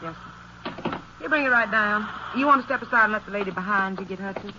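A woman playing a gossipy, excitable small-town theater cashier with a Southern American accent and folksy, eager talk, in a 1950s radio drama. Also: medium pitch, fast, lightly acted. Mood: bossy, busy. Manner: chatty, folksy, breathless eagerness to tell. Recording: noisy, dull 1950s radio recording.

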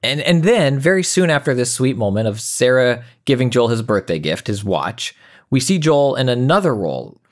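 A male podcast host with a mid-pitched voice. He speaks in a measured way, recapping and leading into interview questions. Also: big pitch swings. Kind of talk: scripted-sounding interview commentary with questions.

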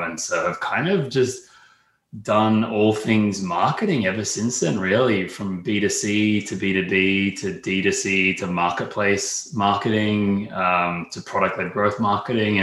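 A man's voice: lowish voice, a bit bright. A man with an Australian accent talking casually as an interview guest, informal, with frequent "like" and "kind of". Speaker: interview guest, marketing executive. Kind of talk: casual conversation. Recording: echoey room.

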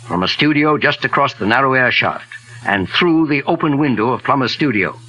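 An old gentleman sleuth in a 1940s radio mystery. His husky voice moves up and down expressively, with a courtly, avuncular manner and a bright edge from the old recording. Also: medium pitch, expressive, moderate pace, rough recording. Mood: grave, explaining. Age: older.

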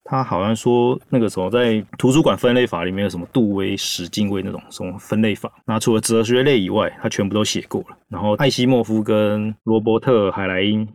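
Fast-talking, low-pitched Taiwanese man hosting. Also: darkish tone, no room sound, clean studio sound. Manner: informal, chatty, with asides. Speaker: podcast host. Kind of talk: casual explanatory podcast talk.

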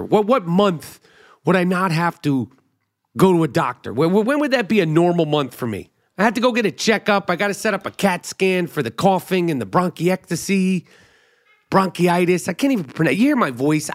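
A man with a notably high voice talks through a loose comedic monologue as a podcast host. He rambles, swears freely and pokes fun at himself. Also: sing-song, moderate pace. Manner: rambling, profane, self-mocking.

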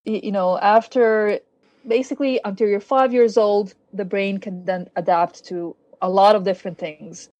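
A woman with a foreign accent and a first language other than English speaks as a podcast guest. She explains earnestly, pausing on fillers, with a dry, close sound and a mid-pitched voice. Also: fairly even intonation, a little mellow, dry recording, highs cut off. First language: not English. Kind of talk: casual interview chat.

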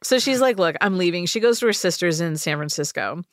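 Animated woman telling a story. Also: on the low side, brisk, dry recording, studio quality. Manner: animated, jokey, mimics voices. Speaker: podcast host telling the story. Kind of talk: casual storytelling chat.

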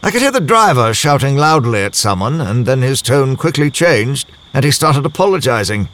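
A man's voice: mid-range voice, big pitch swings, moderate pace, a bit bright. A man playing a worldly former embassy spy, telling his story in a wry, confiding, conversational way for an audio drama. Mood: storytelling. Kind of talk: first-person audio drama narration.

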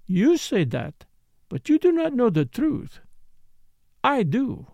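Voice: mid-range voice, big pitch swings, slow pace, mellow, no room sound, voice pushed up, full character voice. A reader voicing a passionate, scheming foreign female dancer in an audiobook story, emphatic, dramatic and accusing. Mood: intense.

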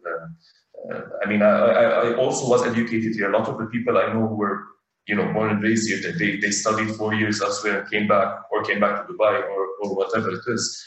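Man, young adult, from Abu Dhabi, low voice, reflective, repeats words, echoey room, distant mic. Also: fairly bright tone. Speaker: guest. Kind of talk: casual conversational interview.

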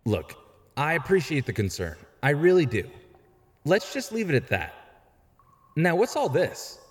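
A man's voice: on the high side, huge pitch swings, a little slow. A man playing a wisecracking young vigilante and former sidekick in an audio drama, full of breezy, sarcastic banter. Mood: irritated. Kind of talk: fan audio drama acting. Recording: long hall-like reverb.

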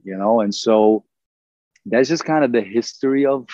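A man's voice: mid-range voice, moderate pace, warm tone, no room sound, dull audio. A middle-aged man from Ukraine, a native Russian speaker, talking earnestly as an interview guest and rambling with lots of "you know" fillers. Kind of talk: casual interview conversation.

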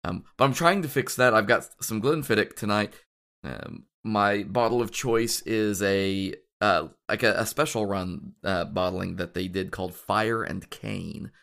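A man hosting a casual podcast, a bit hoarse after shouting at a game, chatting in a rambling way with many ums and restarts. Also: fairly low pitch, a little slow. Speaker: podcast host.

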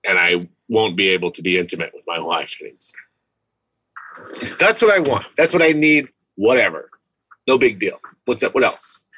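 Low-voiced man, goofy deadpan jokes. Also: moderate pace, breathy edge, low-quality recording. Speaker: podcast co-host. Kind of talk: casual chat.

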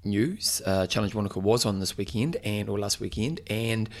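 A man with a New Zealand accent hosting a podcast, hoarse from losing his voice, joking and teasing in casual chat. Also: fairly low pitch, normal speed. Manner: jokey, teasing, talks about himself. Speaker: podcast host.

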